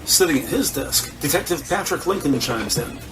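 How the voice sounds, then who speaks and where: Male crime drama narrator, higher-pitched voice, deliberate descriptive storytelling, distant from mic. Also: expressive, music in the background. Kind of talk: scripted present-tense crime story narration. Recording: distant voice over loud background noise.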